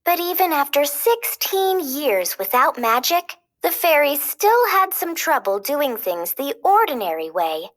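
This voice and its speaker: A woman reading a children's storybook aloud as a storyteller, expressive and clearly paced. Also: sits high, unhurried, hardly any pauses.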